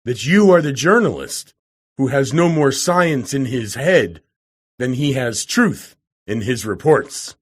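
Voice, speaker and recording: A man's voice: medium pitch, big pitch swings, unhurried, slightly rough, voice pushed up. A man reading aloud who voices a hot-tempered, blustering older professor with a roaring manner. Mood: furious.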